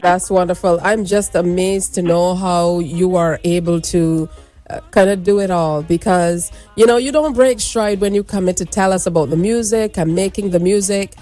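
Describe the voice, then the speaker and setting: Morning radio host, woman, low mellow tone, encouraging interviewer. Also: normal speed, faint music behind. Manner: upbeat, encouraging questions.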